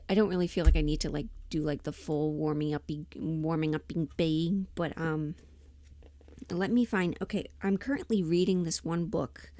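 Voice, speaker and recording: A woman's voice: lowish voice, dark tone, no room sound. A woman chatting casually on a livestream, informal and rambling, muttering asides to herself as she thinks aloud. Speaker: livestream host and amateur voice performer.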